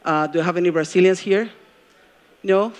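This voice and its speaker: A middle-aged woman from Peru with a Peruvian Spanish accent, giving a live talk as a journalism professor. She engages the crowd with questions and pauses often with ums and uhs. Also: on the low side, darkish tone. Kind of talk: live stage talk to an audience. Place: event stage before a live audience.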